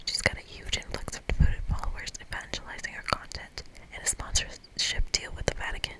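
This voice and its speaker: An ASMR creator reading through a game in a breathy, unvoiced whisper, with soft narration and casual asides. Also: brisk.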